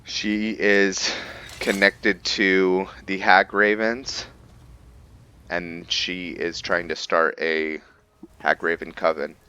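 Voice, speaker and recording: A man giving casual commentary on a roleplay video game, halting as he thinks aloud and explains the lore. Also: deep voice, flat delivery, sharp tone, clean tone, muffled recording. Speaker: gamer narrating a roleplay playthrough.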